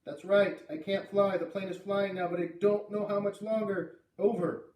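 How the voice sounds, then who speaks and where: A man voicing a panicked, frightened boy in an audiobook, pleading urgently and haltingly for help over a plane radio. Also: high-pitched, fairly even intonation, a little mellow, voice pushed up, close to an everyday voice. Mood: frantic pleading. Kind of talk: audiobook character voice. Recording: echoey room, distant from the microphone.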